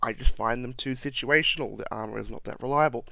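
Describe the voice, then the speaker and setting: A man with a slightly croaky voice, giving a lively play-by-play voice-over commentary on a video game as the channel's host. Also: medium pitch, fast talker, no echo.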